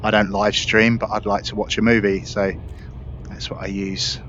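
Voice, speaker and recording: A man hosting a gaming video with casual, relaxed, explanatory commentary. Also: fairly low pitch, moderate pace. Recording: slightly dull audio, faint game noise.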